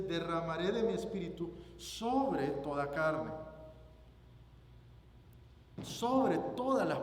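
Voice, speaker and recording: A man with a Guatemalan Spanish accent preaches a sermon, phrasing it deliberately and emphatically and repeating phrases for weight. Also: a little high. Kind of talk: sermon with scripture reading and personal anecdote. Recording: roomy echoing hall, background noise.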